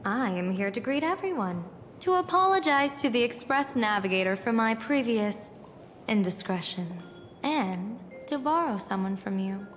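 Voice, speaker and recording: A woman's voice: on the high side, lively intonation, moderate pace, close to an everyday voice. Woman acting a poised mysterious fortune teller general, game cutscene, big long reverb, bit of music behind. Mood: cryptic, solemn. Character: playful, cryptic female seer general, fortune-telling strategist. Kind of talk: theatrical video game voice acting.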